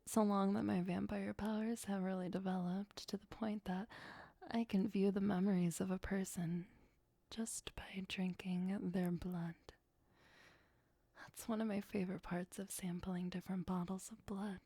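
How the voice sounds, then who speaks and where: A woman plays an ancient vampire girlfriend in an ASMR roleplay, sweet, teasing and possessive. Her voice is soft, breathy and mellow, often dropping to a whisper. She takes her time, in a close, dry sound. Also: medium pitch, steady tone, a little slow, no room sound. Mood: musing, fond.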